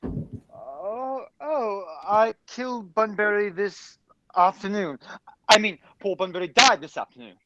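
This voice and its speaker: A man playing an evasive, flustered young gentleman in an amateur radio play, hesitant and bluffing, on a dull, hissy recording. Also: sits high, expressive, measured pace.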